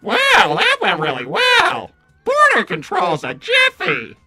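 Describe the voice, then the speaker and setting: A woman's voice: very high-pitched, wildly swooping pitch, slow, gravelly, pushed and bright, faint music behind, heavily acted. A woman voicing a smug little robot henchman in a comic cartoon roleplay, taunting and sarcastic. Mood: excited.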